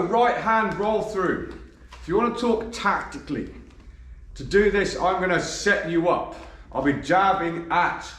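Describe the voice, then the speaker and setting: A man, a bit croaky from a cold, coaching boxing and counting punches as he demonstrates. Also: on the high side, a bit bright. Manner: demonstrates moves while talking, counting punches. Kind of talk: instructional demonstration. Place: gym. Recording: echoey gym, voice from a distance.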